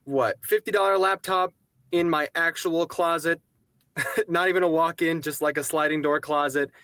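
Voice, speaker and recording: Man telling a relaxed story. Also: on the high side, normal speed, no room sound. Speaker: voice actor guest. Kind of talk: casual video call interview. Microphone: home booth microphone.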